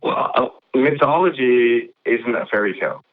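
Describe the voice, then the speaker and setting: A man calling in to a show, debating the host in a halting, repetitive, persistent way. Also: mid-range voice, animated. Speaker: caller to a call-in show. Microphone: phone call. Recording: tinny, narrow phone line.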